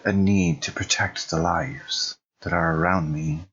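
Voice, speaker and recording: Guy doing a fallen angel turned mortal, deep n husky, slow, solemn and lost, kinda muffled audio. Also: steady tone, a bit bright, exaggerated voice. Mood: solemn resolve. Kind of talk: in-character roleplay monologue. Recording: dull, muffled home recording.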